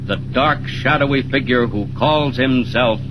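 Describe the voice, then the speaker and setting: A male narrator for a 1940s radio serial. He delivers each line in a dramatic, suspenseful way that keeps building tension. The sound is an old recording with steady hiss. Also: mid-range voice, a little slow.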